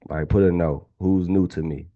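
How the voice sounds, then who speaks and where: A man's voice: low voice, fairly even intonation, normal speed, narrow, tinny audio. A man in his twenties from Atlanta, casually teaching a live online trading class and talking to the chat. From Atlanta, Georgia. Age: 20s. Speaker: trading instructor.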